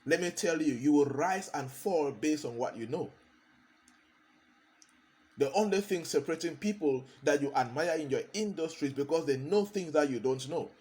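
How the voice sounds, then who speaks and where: A man's voice: fairly high pitch, lively intonation, normal speed, darkish tone. A man, a consultant giving a motivational talk, hammering points home by repeating key phrases. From Cameroon.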